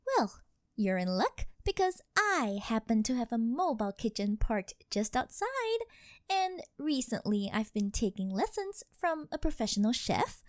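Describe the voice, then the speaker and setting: Rich yandere girlfriend, played by a woman: high, swooping, unhurried delivery, sugary and possessive, for ASMR roleplay. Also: sing-song, dry recording, studio quality. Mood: coaxing, proud. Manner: coaxing, sugary, drawn-out pet-name delivery.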